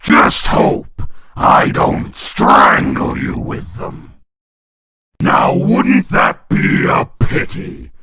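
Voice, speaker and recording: A man voicing a cruel, mocking male demon clown in a fan comic dub, with a raspy snarl and cackling taunts. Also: very deep voice, wildly swooping pitch, extremely slow, no room sound, full character voice. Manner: taunting, cackling, shouting insults.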